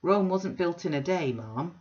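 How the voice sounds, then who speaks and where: A woman voicing a blustering, boastful middle-aged businessman who talks loud and blunt. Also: lowish voice, lively intonation, unhurried. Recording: dull lo-fi recording.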